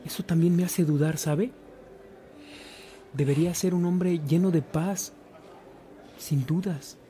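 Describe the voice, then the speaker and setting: An actor playing an earnest, reflective young seminarian in a radio drama. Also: male voice, on the high side, steady tone, slow, dark tone, faint music behind. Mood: troubled, earnest. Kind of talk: radio drama acting and book narration.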